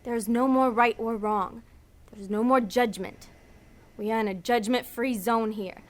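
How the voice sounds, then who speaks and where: A woman's voice: on the high side, fairly even intonation, normal speed, lightly acted. A woman playing a cynical, hard-nosed demon schemer in an audio drama, blunt and insistent in everyday ungrammatical speech.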